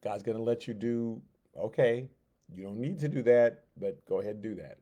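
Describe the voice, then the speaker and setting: A man teaching a Sunday school Bible lesson in a conversational way, acting out the figures in quick asides. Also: on the low side, warm tone. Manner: conversational, acts out God and Moses in quick asides.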